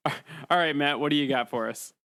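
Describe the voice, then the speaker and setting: A man's voice: a little high, moderate pace, pushed and bright, no echo, clean studio sound. A man hosting a casual comedy panel, bantering and putting teasing questions to the others. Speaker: podcast host moderating a panel.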